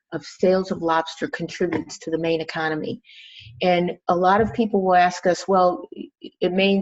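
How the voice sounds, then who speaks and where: A woman chief executive giving a polished business pitch on a recorded call, leaning on key phrases for emphasis. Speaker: restaurant company chief executive. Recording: dull band-limited conference call audio.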